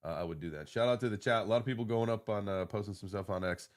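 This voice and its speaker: American guy in his 40s, lowish voice, rambly casual morning stream host, swears a bit. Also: quick pace. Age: early 40s. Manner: laid-back, informal, sweary. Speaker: livestream show host.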